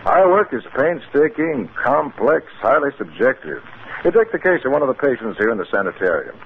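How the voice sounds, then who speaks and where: Scholarly male doctor, proud and professorial, animated pitch, 1950s radio drama, faint hiss. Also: moderate pace. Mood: courteous, explanatory. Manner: professorial, explanatory. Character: cautious, scholarly male psychiatrist, proud and earnest. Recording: hissy narrow-band 1950s radio recording.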